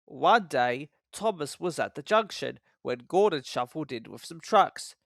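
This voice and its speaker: A man's voice: on the high side, animated, unhurried, vocal fry, fairly bright tone, no room sound, clean studio sound. A man reading a scripted children's story as the narrator.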